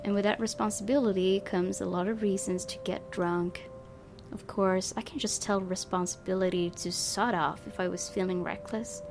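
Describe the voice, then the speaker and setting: A woman's voice: mid-range voice, steady tone, normal speed. A woman hosting a gaming video, reading a visual novel's narration aloud and dropping in casual asides. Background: game music.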